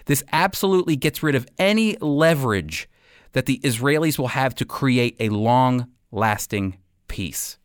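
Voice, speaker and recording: A man's voice: fairly high pitch, dry recording, clean studio sound. A man delivering scripted radio commentary, speaking directly to listeners in an emphatic, persuasive manner. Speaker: host and Bible teacher giving commentary.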